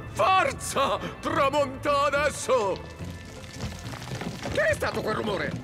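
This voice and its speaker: Casting: scheming monkey gang leader, very high, wildly animated cartoon voice, commanding and mocking, over loud music. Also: male voice, creaky, exaggerated voice. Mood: gloating. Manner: theatrical, commanding, gloating. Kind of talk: animated children's cartoon acting.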